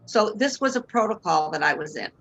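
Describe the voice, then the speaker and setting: A woman's voice: medium pitch, lively intonation, a little fast, background noise. Earnest Boston-accented older woman. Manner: earnest, faith-filled storytelling. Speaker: guest. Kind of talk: personal testimony in interview. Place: home room indoors. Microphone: video call webcam.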